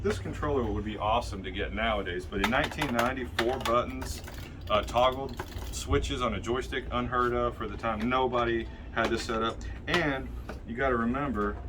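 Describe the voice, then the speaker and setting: A man's voice: medium pitch, background noise. A man in his fifties telling store stories in a casual, rambling way, filmed on a phone inside his game shop. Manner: chatty storyteller, rambling with restarts and asides. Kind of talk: casual store video chat. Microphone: phone camera held by a helper.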